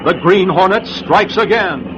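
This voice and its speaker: Muffled 1940s American radio serial announcer, declaiming. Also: male voice, high-pitched, lively intonation, a little slow, heavy background noise. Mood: dramatic, rousing. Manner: dramatic, declamatory. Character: grave, rousing male announcer of a crime-fighter serial. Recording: muffled vintage 1940s radio broadcast recording. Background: loud dramatic music.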